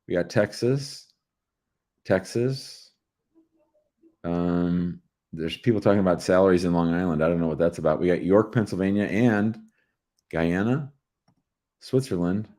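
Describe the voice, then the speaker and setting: A man's voice: low voice, warm tone. A man with an American accent hosting a live call-in show, chatting casually with callers and viewers.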